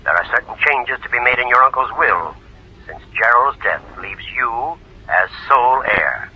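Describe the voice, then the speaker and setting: A man's voice: medium pitch, expressive, moderate pace, breathy edge. A man playing a sober, practical family lawyer in a 1940s radio drama, formal and politely insistent. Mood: grave, apologetic. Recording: muffled old radio recording.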